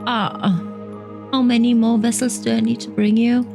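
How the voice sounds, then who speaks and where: A woman's voice: a little high, level tone, moderate pace, gravelly. A woman with a German accent, a native German speaker, hosting a let's play, reading game text aloud and commenting casually. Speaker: gaming video host. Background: game music.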